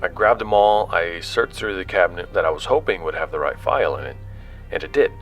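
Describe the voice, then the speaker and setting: Actor as a low-voiced, halting male explorer. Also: expressive, a bit bright. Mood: reflective. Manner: halting, conversational, stumbles over words. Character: curious male explorer recounting his expedition into a recorder. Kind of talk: audio drama acting, hesitant recorded-log narration. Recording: music bed under the voice.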